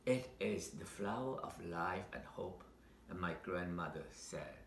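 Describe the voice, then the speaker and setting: Male storybook narrator, lowish voice, unhurried deliberate delivery with dramatic pauses, echoey room. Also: animated. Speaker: storybook reader. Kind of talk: read-aloud children's picture book narration. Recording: roomy echo with faint background noise.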